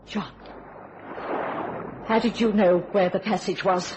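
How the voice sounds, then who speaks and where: Haunted psychic wife played by an actress, noisy recording. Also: female voice, mid-range voice, fairly even intonation, slow, lightly acted. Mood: anguished accusation. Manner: intense, trance-like, dramatic. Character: haunted, psychic wife facing her murderous husband. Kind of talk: old-time radio thriller acting. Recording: noisy old radio drama recording.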